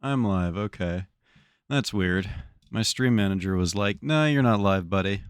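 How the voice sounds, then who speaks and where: A lower-voiced man chatting on a live stream in a loose, slangy way, swearing freely as he greets viewers. His voice has a slightly congested edge, and the sound is dry and close with no room echo. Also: expressive, a little slow, clear, resonant tone. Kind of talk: casual live-stream chat while gaming.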